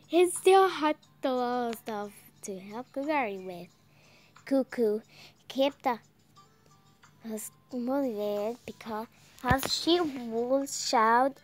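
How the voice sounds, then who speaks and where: A young woman reading visual novel text aloud in a halting way, stumbling over words. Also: fairly high pitch. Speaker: gameplay video creator reading aloud. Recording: music behind the voice.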